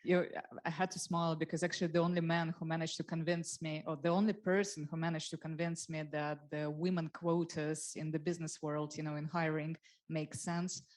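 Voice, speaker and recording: A woman's voice: sits low, level tone, a little fast, a little mellow. A woman speaking as a panelist, keen and agreeing. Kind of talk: panel discussion.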